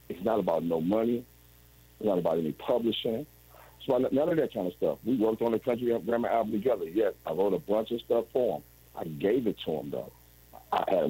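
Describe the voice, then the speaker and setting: A man's voice: on the low side, dark tone, husky, rough recording. A man in his forties telling his story as an interview guest over a video call, rambling and restarting often. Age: 40s. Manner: rambling, with frequent restarts and "you know what I'm saying".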